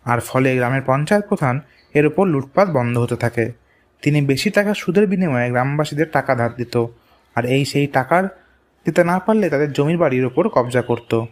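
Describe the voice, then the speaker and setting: A man's voice: medium pitch, lively intonation, brisk. A man narrating a scripted moral tale for a cartoon. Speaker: story narrator. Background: music.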